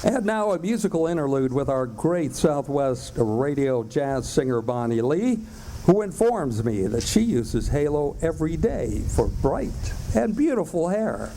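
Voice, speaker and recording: A man's voice: on the high side, sing-song, measured pace, background noise. An American man reading scripted old-time radio advertisements in an upbeat, salesmanlike announcer style. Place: live amateur stage performance in a cafe.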